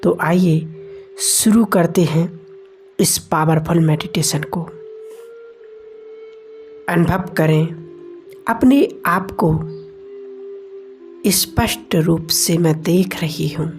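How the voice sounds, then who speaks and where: A woman leading a guided meditation in deliberate phrases with pauses between them. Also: on the low side, darkish tone. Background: meditation music.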